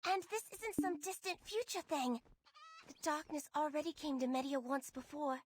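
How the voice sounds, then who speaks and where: A woman's voice: high-pitched, moderate pace, slight background noise, lightly acted. An actress playing a young, gloomy girl prophet in a video game, dramatic and slangy in a girlish way. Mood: urgent, foreboding. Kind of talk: video game character acting. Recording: music behind the voice.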